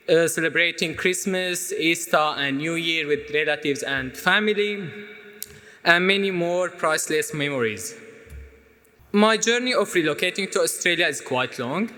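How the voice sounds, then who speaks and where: A young man with a Syrian Arabic accent delivers a prepared personal speech in a reverberant hall. His voice sits on the high side for a man and has a bright, projected edge. He is a native Arabic speaker in his twenties. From Aleppo, Syria. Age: 20s. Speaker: student speaker at an event.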